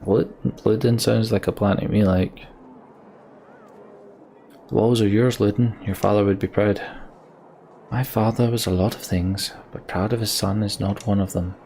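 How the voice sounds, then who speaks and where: A man narrates a video game as a let's play host, reading dialogue and text aloud. His voice sits very low with plenty of fry, and there is faint noise behind him. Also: fairly even intonation, moderate pace, pauses often, mellow. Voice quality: low, creaky.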